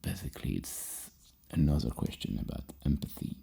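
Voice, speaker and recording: An extremely low, breathy male voice, soft-spoken in an ASMR style. He sounds like a psychologist working through questions in a halting, rambling way, with heavy fry in a mellow tone. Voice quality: very deep, gravelly, breathy. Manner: slow, halting, rambling, dropping into whispers. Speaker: ASMR roleplayer playing a psychologist giving a personality questionnaire.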